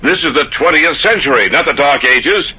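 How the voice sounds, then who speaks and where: An actor playing a stubborn, hot-tempered skeptical husband, with a gravelly voice and forceful, theatrical radio horror delivery. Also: male voice, a little high, animated, normal speed, full character voice. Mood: scornful. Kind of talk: old-time radio horror acting. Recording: dull, hissy old radio recording.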